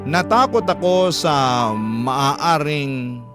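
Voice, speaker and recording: A man playing a humble, anxious seaman father, narrating his letter in a measured, confiding way with a Filipino accent. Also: on the high side. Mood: troubled. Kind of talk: dramatic first-person letter narration. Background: music.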